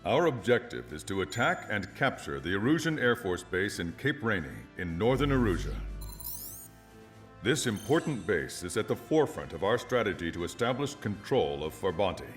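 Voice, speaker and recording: An actor playing a stern military officer, delivering a formal, authoritative mission briefing for a video game. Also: male voice, lowish voice, sing-song, moderate pace, faint music behind. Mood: formal, resolute.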